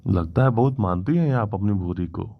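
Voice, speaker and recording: A man playing a self-assured, officious village police inspector in a comedy cartoon, with a brisk, questioning manner. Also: on the low side, lively intonation, vocal fry, no room sound. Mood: sympathetic. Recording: dull, dry lo-fi audio.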